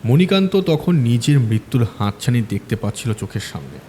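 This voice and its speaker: A man narrating a scripted ghost story in a measured, dramatic way with pauses. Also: mid-range voice, big pitch swings, dark tone, a little hiss or hum. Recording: long reverb, music underneath.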